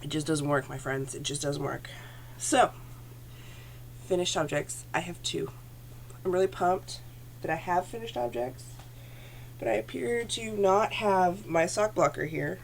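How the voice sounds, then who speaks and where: A woman's voice: lowish voice, measured pace, vocal fry, long pauses, a little hiss or hum. A woman hosting a knitting podcast from home, chatting casually to her computer camera with a phlegmy throat, rambling and correcting herself as she goes. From Canada. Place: home room. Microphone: computer camera at desk.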